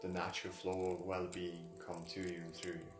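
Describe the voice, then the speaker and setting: A man with a deep, level voice leads a guided meditation. He speaks non-native English in halting phrases that circle back and repeat. The room echoes around his voice. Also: completely flat delivery. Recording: roomy echo, music behind. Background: music.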